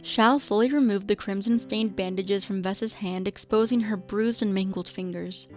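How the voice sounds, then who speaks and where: A woman's voice: medium pitch, moderate pace, a little mellow. A woman reading scripted narration for a radio drama as the storyteller. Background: music.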